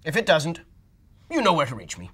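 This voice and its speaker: A man voicing a fussy, pompous doctor in a storybook reading, loud and brisk. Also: a little high, huge pitch swings, slightly rough, pitched above the performer's own voice, exaggerated voice. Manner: rattling off lists loudly and briskly. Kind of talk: storybook read-aloud voice.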